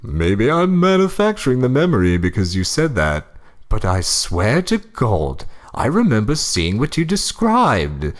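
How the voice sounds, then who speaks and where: A man's voice: mid-range voice, huge pitch swings, unhurried. A man playing a pompous, fussy old husband reading a horror story aloud for comedy. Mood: dramatic reading. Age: older.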